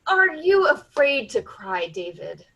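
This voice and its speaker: A woman performs an earnest, prodding female coworker in a stage drama. Her voice is high and very animated, with a coaxing, therapist-like manner. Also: slow, dull audio, exaggerated voice. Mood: coaxing, concerned. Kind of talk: staged play reading.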